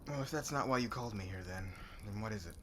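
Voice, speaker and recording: A man's voice: on the low side, quick pace, background noise, lightly acted. A man playing a cocky, flirtatious warrior commander in an ASMR roleplay, with a brash, teasing way of talking. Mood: smug, flirtatious. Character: cocky, flirtatious warrior commander, crude suitor turning contrite.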